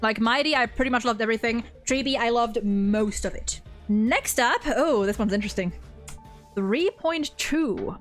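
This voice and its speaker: Female host, higher voice, off-the-cuff and emphatic chat with rambling tangents, light music underneath. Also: normal speed. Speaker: host of a video game story ranking discussion. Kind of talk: casual opinionated chat, unscripted.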